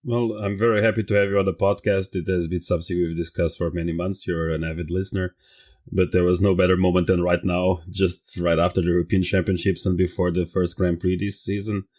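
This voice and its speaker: A man's voice: low-pitched, flat delivery, brisk, a little mellow. A man hosting a podcast, welcoming and interviewing a guest over a video call. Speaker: podcast host. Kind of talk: casual podcast chat.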